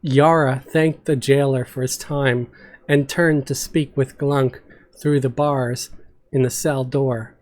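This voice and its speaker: A man's voice: medium pitch, fairly even intonation, slow pace, a little mellow. A man giving an amateur dramatic reading of a fantasy story as its narrator.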